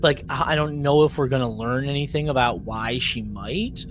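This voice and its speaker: A man co-hosting a podcast in a casual recap style, haltingly repeating and rewording his phrases. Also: medium pitch, faint music behind. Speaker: podcast co-host.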